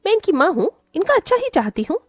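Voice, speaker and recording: A woman's voice: extremely high pitch, sing-song, fast talker, dry recording, lo-fi sound. A woman voicing a cruel, bullying stepmother in a dramatised moral story, scolding and sneering with threats. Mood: scheming. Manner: scolding, sneering, name-calling.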